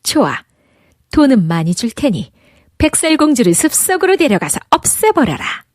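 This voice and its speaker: A cruel, vain witch queen from a storybook, as a woman narrator puts her on. She is imperious and scheming, with pitch that swings widely, recorded close and dry. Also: sing-song, moderate pace, no echo. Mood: coldly commanding.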